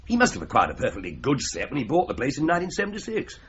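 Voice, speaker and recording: A bluff, astonished English gentleman. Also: mid-range voice, wildly swooping pitch, very fast. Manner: bluff, exclaiming "Good Lord", self-deprecating. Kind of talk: BBC-style radio drama acting. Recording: dull lo-fi radio play with faint hiss.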